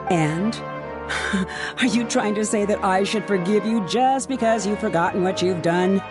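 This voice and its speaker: A woman's voice: medium pitch, unhurried, over music, lightly acted. A woman playing a proud, bitter researcher in a video game, sardonic and dismissive. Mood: bitter mockery. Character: proud, bitter female researcher, scornful and blunt.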